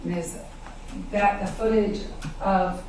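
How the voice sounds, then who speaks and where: A woman prosecutor questioning a witness in court, polite, formal and measured. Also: mid-range voice, steady tone. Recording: echoey courtroom, distant mic, noisy.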